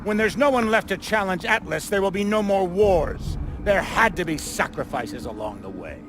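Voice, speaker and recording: An actor playing a ruthless, self-righteous villain leader in a video game, menacing and grandiose. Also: male voice, high-pitched, expressive, normal speed. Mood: grandiose, defiant. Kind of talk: dramatic video game acting. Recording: clear music under the voice.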